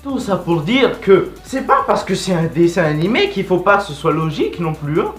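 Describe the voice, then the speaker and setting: A man's voice: high voice, very animated, moderate pace, roomy sound, soft background music. A young man giving casual, slangy video commentary in an informal, digressive way. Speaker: video host.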